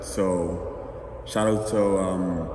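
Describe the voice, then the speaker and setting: A young man tells his story in a low voice, relaxed and rambling. He sounds distant from the mic, and his voice carries a long, roomy reverb. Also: extremely slow, a little hiss or hum. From Bahamas. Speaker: guest. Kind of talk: casual interview storytelling. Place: outdoors. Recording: echoey, reverberant, from afar.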